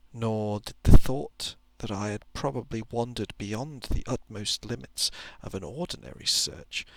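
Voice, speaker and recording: A man reading a story in a soft, hushed ASMR style, with measured phrasing that often sinks into a whisper, close to the microphone. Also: mid-range voice, vocal fry, no echo. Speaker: narrator.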